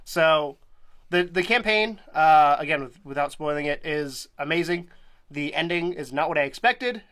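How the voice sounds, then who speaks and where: A man's voice: fairly high pitch, moderate pace, a bit bright. A young man hosting a casual gaming podcast, a bit nasal from a cold, rambling and laughing often. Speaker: podcast host.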